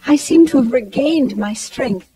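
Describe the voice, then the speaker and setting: A sweet young Victorian lady in a radio drama. Her voice is fairly high and mellow. She speaks slowly and delicately. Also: expressive, rough recording. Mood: happy, delicate.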